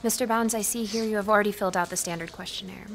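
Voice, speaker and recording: A woman's voice: medium pitch, steady tone, creaky, a little hiss or hum, close to an everyday voice. A woman playing a patient, formal police interviewer taking a statement in an audio drama. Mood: businesslike. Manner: formal, measured questioning.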